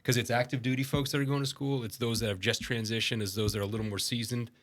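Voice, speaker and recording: A man's voice: medium pitch, level tone, fast, a bit bright. A man talking on a podcast as a guest, confident and emphatic with a dry humor. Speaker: retired army general, guest. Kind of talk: casual podcast conversation.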